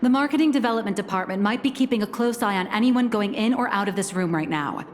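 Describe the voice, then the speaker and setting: A woman voicing a shrewd, decisive young agent in a game, cool and measured in manner. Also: medium pitch, slight background noise, close to an everyday voice. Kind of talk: game voice acting.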